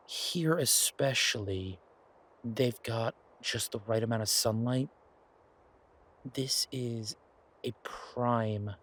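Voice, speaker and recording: A man playing an affectionate, eager boyfriend in an audio roleplay, talking in a casual, halting, coaxing way with a husky voice. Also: medium pitch, fairly bright tone. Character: affectionate, eager boyfriend pitching grand ideas to his werewolf girlfriend.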